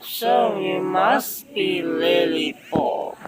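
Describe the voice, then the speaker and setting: Erratic slow exclaiming voice in heavy noise. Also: medium pitch. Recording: very noisy home-made capture.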